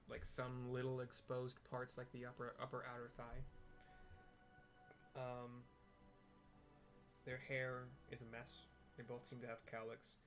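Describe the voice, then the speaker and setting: A man's voice: medium pitch, fairly bright tone. A young man playing a video game and describing the scenes aloud for blind viewers, hesitant with many fillers and restarts. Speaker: gamer and audio describer. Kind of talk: casual let's-play with audio description.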